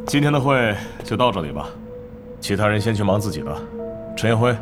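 Stern middle-aged boss, commanding and calculating, animated delivery for audio drama. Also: male voice, on the low side, fairly quick, music in the background. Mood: scheming. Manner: commanding, interrogating. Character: stern, scheming middle-aged male club manager. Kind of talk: Mandarin audio drama acting.

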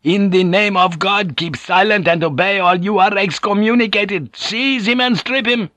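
A man's voice: medium pitch, normal speed, fairly bright tone, no echo, pitched above the performer's own voice, lightly acted. A male narrator plays a zealous priest and stern superior from a dramatic audiobook. The voice is commanding and thunderous, lifted well above plain narration, condemning with fierce authority in a dry, close sound. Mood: enraged. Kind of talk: dramatic audiobook character acting.

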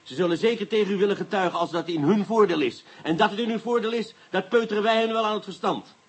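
An actor playing a sly police inspector, mock-polite and insinuating, in a Dutch radio play. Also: male voice, high voice, quick pace, slightly rough. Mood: sly, threatening. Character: sly, sardonic male police inspector, coolly coaxing.

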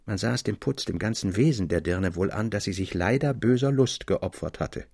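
A man reading a literary story as narrator, articulate and expressive in scripted audiobook style. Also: lowish voice, moderate pace, vocal fry, breathy edge, no room sound, clean studio sound.

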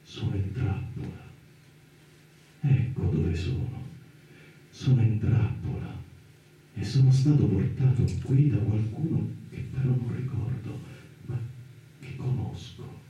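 An actor playing a confused man trapped in darkness, speaking in halting, questioning radio theatre style. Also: lowish voice, warm tone, dull audio. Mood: uneasy. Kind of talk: Italian radio theatre monologue and dialogue. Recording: very echoey, distant, noisy radio drama recording.

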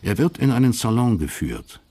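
A man narrating a radio crime drama in a measured, literary storytelling manner. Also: mid-range voice, big pitch swings, mellow, slightly rough.

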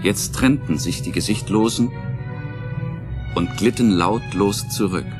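Low-voiced male narrator over music. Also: measured pace. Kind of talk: scripted dramatic story narration for an audio play. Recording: music behind the voice.

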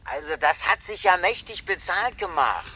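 An actor playing a cocky, cowardly small-time crook and informant in a German radio crime drama, boastful, slangy and whining. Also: male voice, high voice, big pitch swings, moderate pace, sharp tone, breathy edge, heavily acted. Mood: smug.

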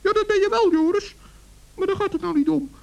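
A man's voice: very high-pitched, a little fast, vocal fry. An actor playing a small, kindly, fretful male forest gnome in a children's radio play, earnest and plaintive. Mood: pleading, firm.

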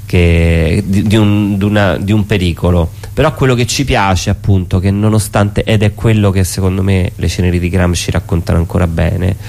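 Male interview guest with a very deep voice, thinking aloud in a halting, conversational way. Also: steady tone, creaky, darkish tone. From Italy. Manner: halting, repeats words while thinking. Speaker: theatre artist, interview guest. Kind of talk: reflective conversational radio interview.

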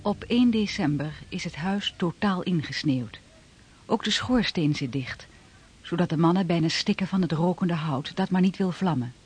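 A woman reading scripted narration for a historical radio drama in a husky voice. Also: fairly low pitch, animated, normal speed, darkish tone, soft background music.

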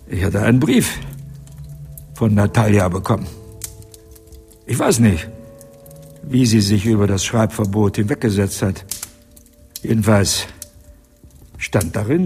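An actor playing an old man in a German radio drama, confessing bitterly in halting, emotional phrases. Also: on the low side, expressive, darkish tone, soft background music. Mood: weary confession. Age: older. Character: old man bitterly confessing his wartime youth, agitated.

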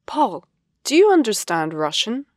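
This is a woman voicing lines for a language course. She speaks at a very slow, deliberate pace and pronounces each word with care. The recording is close and dry. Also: a little high, very animated, darkish tone, dry recording. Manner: slow, deliberate, carefully enunciated. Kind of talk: scripted textbook dialogue reading.

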